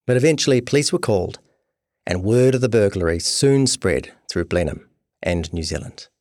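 A man's voice: on the low side, expressive, moderate pace, darkish tone, no echo, clean studio sound. A man reading scripted documentary narration for a true crime podcast.

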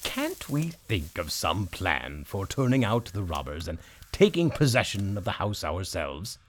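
A man voicing a big, practical, friendly donkey in a storybook tale, with drawn-out, deliberate speech. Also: low-pitched, sing-song, slight background noise, voice pushed down. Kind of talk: storybook character voice.

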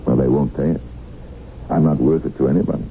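Very deep, gravelly, cynical captive tycoon. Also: male voice, steady tone, quick pace. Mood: resigned, reflective. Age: middle-aged. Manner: wry, musing, sardonic. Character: captive middle-aged male tycoon, cynical, world-weary, taunting. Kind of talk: 1970s radio drama acting. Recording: lo-fi radio recording, tinny, faint noise.

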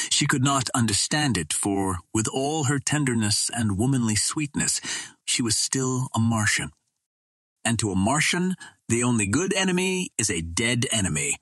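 A man reading an adventure novel aloud as first-person narration, in a measured, earnest literary manner. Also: medium pitch, fairly bright tone, dry recording. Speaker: audiobook reader.

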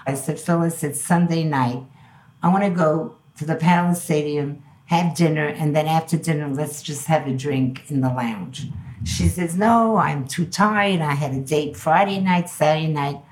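Older New York woman, low voice. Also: normal speed, low-quality recording. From Belgium. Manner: animated storyteller, acts out quoted dialogue. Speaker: guest. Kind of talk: storytelling interview.

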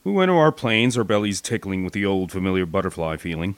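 American guy reading a war memoir audiobook, lowish voice, dry close sound, tells it with drama. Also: fast talker, no room sound. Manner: expressive, dramatic storytelling. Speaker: audiobook narrator. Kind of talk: scripted first-person war memoir narration.

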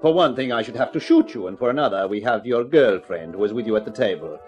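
An actor playing a smooth, menacingly polite gangster with formal, precise phrasing in a 1950s radio crime drama. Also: male voice, fairly low pitch, expressive, fast talker, darkish tone, soft background music, lightly acted. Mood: polite menace. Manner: formal, courteous, precise phrasing with veiled threats.

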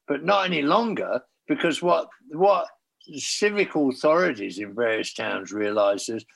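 A man's voice: mid-range voice, gravelly, a bit bright. An older man reminiscing in a casual interview as a former tour manager, blunt and swearing, with bursts of enthusiasm.

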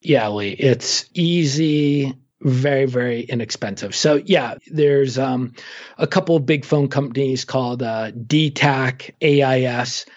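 A man giving relaxed, informative travel advice in a casual conversation, with frequent fillers. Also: medium pitch, measured pace, dull audio. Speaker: travel guest expert.

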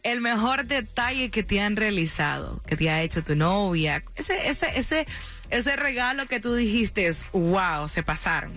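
A woman's voice: mid-range voice, animated, measured pace, fairly bright tone. Female radio host, gushing and playful, interviewing a guest over faint music. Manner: gushing, flirtatious, effusive.

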